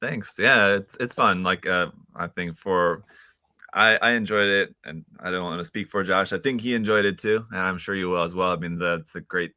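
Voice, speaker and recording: A man hosting a casual live stream chat, relaxed and laughing often, with many fillers. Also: deep voice, moderate pace, no room sound, clean studio sound.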